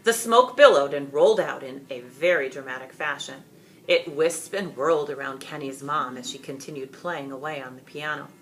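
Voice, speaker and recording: A woman reading a children's story aloud in dramatic, expressive, deliberate narration. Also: sits low, a little hiss or hum, low-quality recording.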